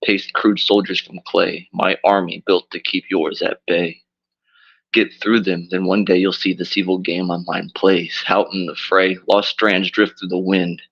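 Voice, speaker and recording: A deep-voiced, raspy man performs a dark rap verse at a slow, deliberate pace, a bit drunk and loopy. His delivery stays low and flat. The audio is muffled and lo-fi. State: drunk and loopy after dental surgery. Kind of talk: slow spoken-word rap.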